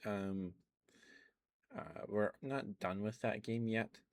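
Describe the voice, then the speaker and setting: A man softly rambling about video games in a relaxed ASMR stream, with many ums and uhs. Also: on the low side, no room sound. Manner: relaxed, quiet and unhurried.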